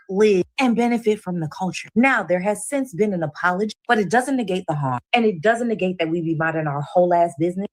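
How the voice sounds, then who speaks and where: A woman commentator making a firm, deliberate and forceful argument. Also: fairly low pitch. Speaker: online commentator. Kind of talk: opinion commentary.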